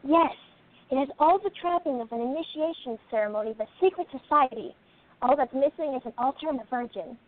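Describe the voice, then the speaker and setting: A woman playing a composed, shrewd female sleuth in a radio play, with deliberate and rather formal phrasing. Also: high-pitched. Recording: thin, phone-like band-limited audio.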